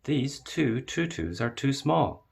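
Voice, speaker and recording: A man voicing a practical, gently insistent mother in a children's story, in a pointed, matter-of-fact way. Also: mid-range voice, big pitch swings, takes it slowly, creaky, husky, pitched above the performer's own voice, full character voice.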